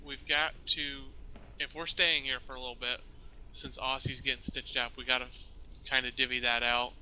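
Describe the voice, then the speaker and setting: A man's voice: medium pitch, flat delivery, normal speed, sharp tone, a little hiss or hum, rough recording. A man chatting casually in character as he improvises in a group role-play.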